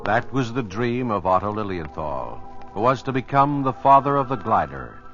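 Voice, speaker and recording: A man's voice: lowish voice, sing-song, normal speed, over music. A man reads scripted narration for a 1950s radio documentary. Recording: muffled old radio recording.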